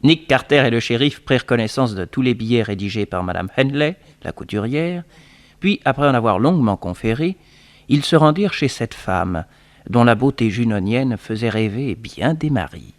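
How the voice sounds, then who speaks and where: An actor narrating a 1960s French radio detective serial as a formal storyteller. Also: male voice, medium pitch, lively intonation, normal speed, dry recording, lightly acted. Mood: neutral storytelling.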